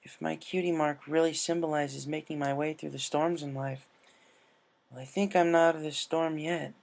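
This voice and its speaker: Man voicing an earnest, excitable pony girl, raised pitch. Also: normal speed, gravelly. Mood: tender, vulnerable. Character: excitable, earnest female cartoon pegasus pony, grateful and stubborn.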